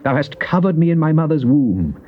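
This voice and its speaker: An actor playing a fervent psalmist, solemn and theatrical in a dramatized Bible reading. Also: male voice, fairly high pitch, very animated, a little fast. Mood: awed. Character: fervent psalmist addressing God in prayer and lament. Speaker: scripture reader. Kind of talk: dramatized Bible reading, British stage delivery. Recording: muffled, noisy old cassette tape.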